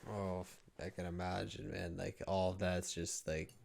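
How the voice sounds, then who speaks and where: A man's voice: deep voice, flat delivery, measured pace, vocal fry, a little mellow. A man hosting a podcast in a laid-back, casual way, using lots of fillers. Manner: laid-back, filler-heavy, wrapping up the show. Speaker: podcast host.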